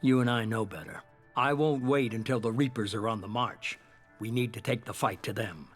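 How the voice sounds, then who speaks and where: An actor as measured, calculating shadowy boss. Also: male voice, mid-range voice, soft background music, natural voice. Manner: measured, composed, authoritative. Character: shadowy, calculating middle-aged male leader of secret organization. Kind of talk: cinematic sci-fi game dialogue.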